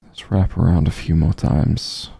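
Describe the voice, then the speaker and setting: A man playing a gentle, concerned doctor who is an old friend, speaking slowly and soothingly in a soft ASMR roleplay, with a low, husky voice. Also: fairly even intonation, exaggerated voice. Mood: gentle, careful. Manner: slow, soothing, reassuring.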